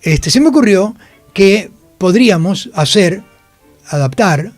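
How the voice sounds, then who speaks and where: A man's voice: sits high, animated, slow, dry recording, faint music behind. Older Buenos Aires man, dry close sound. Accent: Buenos Aires Spanish. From Buenos Aires, Argentina. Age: 70s. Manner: conversational, anecdotal, seeks confirmation from listener. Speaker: actor and producer, interview guest. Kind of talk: casual radio interview, reminiscing. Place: radio studio.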